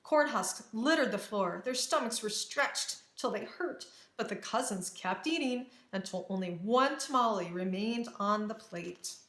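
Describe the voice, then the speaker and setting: Expressive woman storyteller in an echoey room. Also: fairly high pitch, a little slow, few pauses. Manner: dramatic, careful phrasing. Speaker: storybook reader. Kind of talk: expressive children's read-aloud narration.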